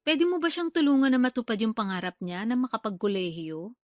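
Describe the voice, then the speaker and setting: Woman acting a pushy, high-voiced mother. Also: brisk, no echo, studio quality, faint music behind, lightly acted. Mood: coaxing. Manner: colloquial, nagging, coaxing. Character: pushy, talkative poor provincial mother, middle-aged. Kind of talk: Filipino radio drama acting.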